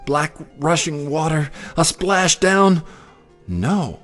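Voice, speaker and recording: A man narrating a children's story in an expressive, theatrical way. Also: fairly high pitch, takes it slowly, faint music behind. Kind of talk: dramatic children's story reading.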